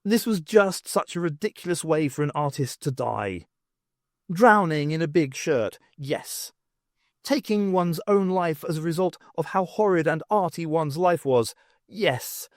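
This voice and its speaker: A male audiobook narrator tells a story with expressive, wry delivery. His voice sits a little high for a man. The sound is close and dry, with hardly any room to it. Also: unhurried, no echo. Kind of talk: dramatic scripted narration of fiction.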